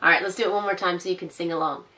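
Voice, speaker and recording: A woman librarian reads a storybook aloud for an online story time, gentle and expressive. She stops now and then to ask the children questions. The room is roomy and echoey, and she sits a little back from the mic. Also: fairly low pitch, racing, far from the mic. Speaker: school librarian. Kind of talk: reading a picture book aloud to children.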